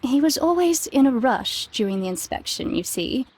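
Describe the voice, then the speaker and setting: A young lady researcher in a detective game. Her voice sits a little high. She answers with polite composure and careful precision. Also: expressive, normal speed, darkish tone, husky. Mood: polite, composed. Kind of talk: scripted game dialogue, giving testimony.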